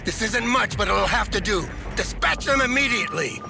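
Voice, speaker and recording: A gravelly, high-pitched hot-headed general. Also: male voice, animated, normal speed. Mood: indignant. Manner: gruff, commanding, blunt. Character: hot-headed, stubborn hawkish American army general. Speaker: military general. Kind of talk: dramatic video game voice acting. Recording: loud music behind the voice.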